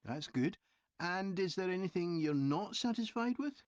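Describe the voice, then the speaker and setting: A man's voice: high voice, expressive, normal speed, no echo. Courteous male interviewer, methodical questions, varied intonation, slightly muffled dry recording. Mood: polite, businesslike. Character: courteous survey interviewer at a railway station. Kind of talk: scripted listening-test dialogue.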